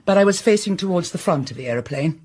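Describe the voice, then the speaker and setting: An actress playing a haughty, brittle upper-class English countess with a disdainful, clipped manner in a radio drama. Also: female voice, lowish voice, lively intonation, quick pace, mellow. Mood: guarded.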